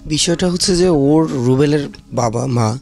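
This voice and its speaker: A man's voice: fairly high pitch, measured pace, darkish tone, soft background music. A man with a Bangladeshi accent giving explanatory interview answers as a shelter manager, in hesitant phrases sprinkled with English terms.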